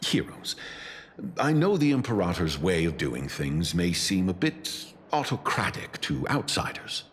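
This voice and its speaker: A man voicing a courteous, measured, loyal dignitary in a video game, speaking politely and formally. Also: low voice, sing-song, slight background noise. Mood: earnest, grave. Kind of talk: video game character acting.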